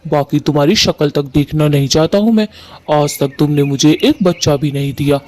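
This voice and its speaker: A man's voice: on the high side, moderate pace, darkish tone, close to an everyday voice. A man voicing a cruel, grumpy husband in a cartoon, taunting and complaining. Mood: harsh. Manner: harsh, complaining. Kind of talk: Hindi cartoon voice acting.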